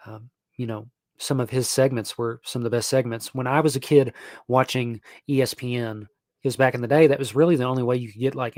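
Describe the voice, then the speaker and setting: A young man, hosting a sports stream at an even, middle pitch. He rambles along with fillers and restarts. The close mic sounds dry, with no room around it. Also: no room sound. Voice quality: nasal. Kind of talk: casual live-stream talk.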